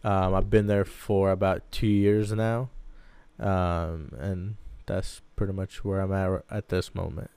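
A man in his twenties with a fairly low, smooth voice, recorded close and dry. He talks casually about himself as a podcast co-host, in a loose way with plenty of hedging. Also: little pitch movement, unhurried, mellow, dry recording. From Baghdad, Iraq. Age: 20s. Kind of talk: casual personal storytelling.

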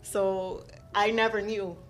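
A young woman from Haiti talks casually as a podcast guest, recounting her childhood with lively energy and easy laughter. Her voice sits at a middle pitch. Soft music plays faintly underneath. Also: takes it slowly. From Haiti, raised in South Florida. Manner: animated, laughs while telling stories, many "um"s. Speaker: guest, school counselor. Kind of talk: casual interview storytelling.

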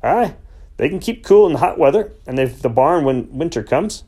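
A man voicing a gruff, blunt old male farmer with a Yorkshire accent. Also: a little high, animated, normal speed, warm tone, pitched above the performer's own voice. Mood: plain, matter-of-fact.

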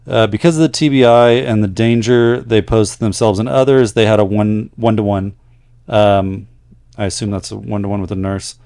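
Low-pitched man co-hosting a podcast. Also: steady tone, moderate pace, gravelly, dark tone, clear, resonant tone, dry recording, clean studio sound. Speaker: podcast co-host and nurse. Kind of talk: casual podcast chat, reading listener letters aloud.

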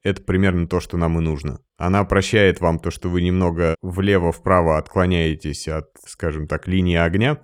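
Man, deep voice, dry close sound, practical explainer, sound engineer guest. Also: moderate pace, few pauses, dry recording. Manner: practical, explanatory, lively emphasis. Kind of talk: explaining podcast gear in conversation.

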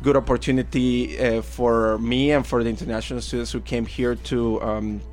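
A Venezuelan-accented man in his thirties tells his story at an easy, thoughtful pace, with many pauses and fillers. His voice sits at a medium pitch, and faint music plays underneath this radio interview. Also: level tone, darkish tone. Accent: Venezuelan Spanish. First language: Spanish. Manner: friendly and reflective, with frequent fillers and laughs. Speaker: guest artist. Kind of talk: casual interview.

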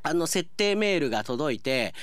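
A man hosting a radio show as announcer, talking conversationally and reading out listener mail in an animated way. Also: on the high side, moderate pace, clear, resonant tone, dry recording, faint music behind.

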